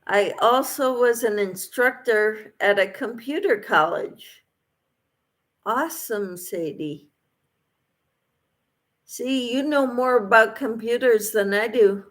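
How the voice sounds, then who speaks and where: A woman's voice: a little high, takes it slowly, pauses often. A woman hosting a casual livestream, reading out viewers' comments and replying to them in an encouraging way. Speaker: livestream host.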